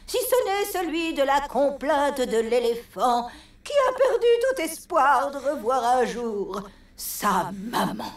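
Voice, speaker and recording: A woman's voice: high-pitched, very animated, slow pace, reverberant, distant mic, heavily acted. A woman voicing a vain, scheming female elephant contestant in a cartoon, theatrical and self-satisfied. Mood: melodramatic.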